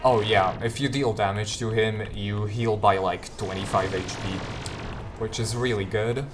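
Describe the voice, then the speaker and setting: Low-voiced man casually talking through a game. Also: fairly even intonation, unhurried, over music. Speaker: gamer giving a guide. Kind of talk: casual off-the-cuff game walkthrough commentary.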